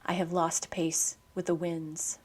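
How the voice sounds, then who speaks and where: A woman hosting a poetry program, speaking in a measured, articulate scripted style for radio narration and poem reading. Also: fairly low pitch, flat delivery, a little mellow.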